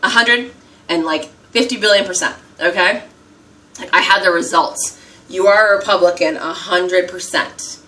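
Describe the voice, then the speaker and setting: An American woman chats to her camera as she does her makeup, pressing her points and repeating them over and over. Her voice is bright and a little tense. She sits somewhat back from the mic. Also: fairly low pitch, normal speed, distant mic. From United States. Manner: emphatic, repeats herself, keeps asking "okay?"; talking while doing her makeup. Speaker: vlogger. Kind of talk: casual talk to camera.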